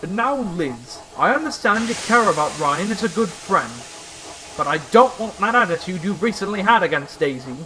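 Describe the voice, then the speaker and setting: A man's voice: sits high, animated, normal speed. A man playing a stern but fair railway controller in an audio drama, formal and authoritative. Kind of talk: audio drama character reading. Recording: clearly audible background hiss.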